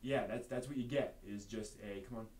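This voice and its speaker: A man's voice: mid-range voice, normal speed, a little mellow. A man hosting a live stream, chatting casually and off the cuff in a rambling way that trails off. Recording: noisy room background.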